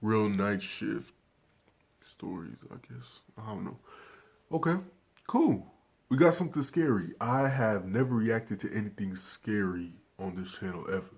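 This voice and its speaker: A man's voice: lowish voice, animated, unhurried, creaky, a little mellow, husky. A nineteen-year-old man doing casual reaction commentary, laid-back and swearing freely, talking straight to his viewers without a proper microphone. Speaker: reaction channel host.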